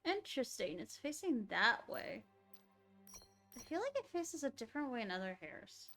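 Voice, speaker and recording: High-voiced woman musing through a game stream. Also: sing-song, moderate pace, a bit bright, faint music behind. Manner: musing aloud to herself. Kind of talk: casual live gameplay commentary.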